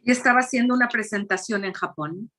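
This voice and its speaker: A woman interprets on a video call. She passes the speaker's lines along quickly, with small restarts and an easy manner. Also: a little high, pushed and bright. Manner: quick relaying, small restarts, laughs easily. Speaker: interpreter and host. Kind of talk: live interpreting.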